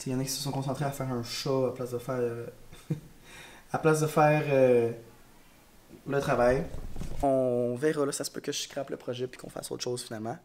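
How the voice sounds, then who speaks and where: A man's voice: medium pitch, steady tone, normal speed, pauses often, a little mellow, slight background noise. A male schoolteacher with a Quebec French accent, congested, chatting casually to camera in a rambling, tired and frank way. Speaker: elementary school teacher. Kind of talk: casual vlog talk to camera. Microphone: camera mic.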